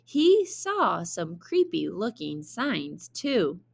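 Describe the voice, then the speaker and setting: A woman reading a children's story aloud like a teacher, with expressive, deliberate narration. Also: fairly low pitch, vocal fry.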